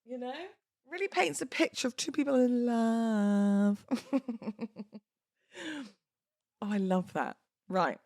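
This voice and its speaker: A woman's voice: on the high side, slow pace, pauses often, no echo. Chatty British woman hosting a podcast, dry sound. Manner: chatty, informal, cheeky, expressive. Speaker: podcast host. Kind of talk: casual solo podcast chat.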